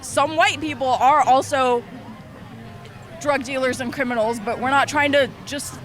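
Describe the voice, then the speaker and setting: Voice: high-pitched, fairly even intonation, measured pace, a bit bright, soft background music, a faint crowd. A young adult arguing back defiantly in a heated street interview, swearing now and then, outdoors on a city street.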